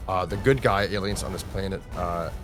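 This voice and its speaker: A man doing casual, excited play-by-play narration of comic panels, informal and dramatic, as a read-along host. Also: a little fast. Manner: informal, dramatic, with interjected booms. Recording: music under the voice. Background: music.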